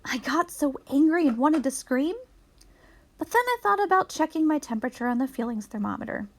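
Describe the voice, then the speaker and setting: A woman reading a children's picture book aloud in an expressive storytelling manner for young children. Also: sits high, brisk.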